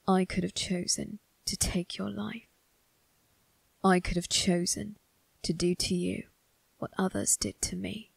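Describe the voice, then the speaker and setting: A woman plays a cold, possessive male vampire in an intimate ASMR roleplay. The voice is low and gravelly, barely rising or falling, with a breathy husk. Every taunt is measured and condescending, delivered close and dry. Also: darkish tone, dry recording, heavily acted. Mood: possessive, intense. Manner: slow, deliberate, measured taunting. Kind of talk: ASMR roleplay monologue addressed to the listener.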